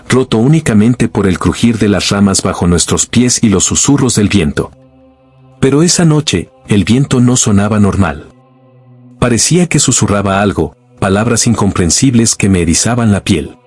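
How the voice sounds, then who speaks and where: A man reading a scripted first-person horror story in a slow, grave, suspenseful way. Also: lowish voice, a little mellow, slightly rough, dry recording. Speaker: narrator.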